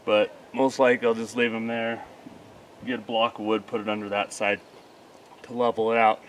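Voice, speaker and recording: A man's voice: fairly low pitch, level tone, normal speed, slight background noise, rough recording. A man casually explaining a DIY job as a vlogger, matter-of-fact and thinking aloud. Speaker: homesteader vlogger. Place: outdoors at a homestead sawmill site.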